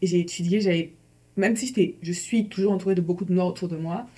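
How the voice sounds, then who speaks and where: A woman's voice: lowish voice, fast talker, dark tone, husky. A woman in her thirties from Guinea, a podcast guest who speaks frankly. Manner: frank and candid. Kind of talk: casual podcast chat.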